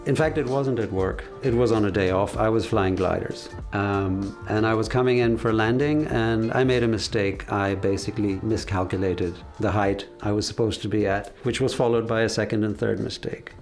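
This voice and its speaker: A man in his fifties speaking in a measured, reflective way with frequent hesitations, giving a documentary interview about his career as a car designer. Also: on the low side, darkish tone, soft background music. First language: English.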